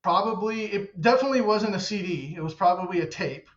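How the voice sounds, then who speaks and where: A man's voice: sits high, fast. An American man in his forties from the southern US, chatting casually as a guest in an interview. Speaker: podcast guest, chief executive. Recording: roomy echo, slightly dull sound.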